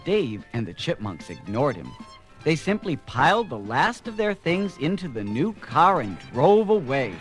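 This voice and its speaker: A man's voice: fairly high pitch, very animated, measured pace, faint music behind. A man narrating a scripted children's story.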